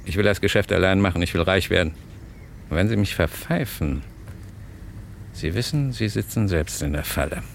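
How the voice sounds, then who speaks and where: Gravelly, low-voiced smug blackmailer. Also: male voice, normal speed, pauses often. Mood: greedy, demanding. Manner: smug, insistent, coolly taunting. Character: cunning, smug male blackmailer and hotel thief. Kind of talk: 1960s German radio crime drama acting. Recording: noisy old radio drama recording.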